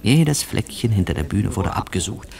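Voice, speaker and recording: An actor playing a chatty, slightly ironic newspaper reporter and obliging sidekick in a German radio play. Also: male voice, on the low side, lively intonation, moderate pace, vocal fry, crowd noise. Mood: narrating.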